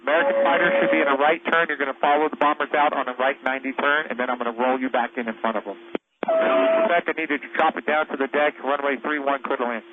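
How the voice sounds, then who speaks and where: A man's voice: a little high, huge pitch swings, quick pace. A man gives live, informal, encouraging instructions to pilots as an air boss over aviation radio. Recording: tinny, noisy aviation radio transmission.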